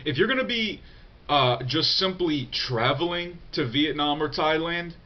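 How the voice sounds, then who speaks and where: A man's voice: a little high, measured pace, dull audio. A young man with a congested, nasal voice from a cold, answering viewer questions in a loose, profane vlog with lots of fillers. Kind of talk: casual unscripted vlog talk.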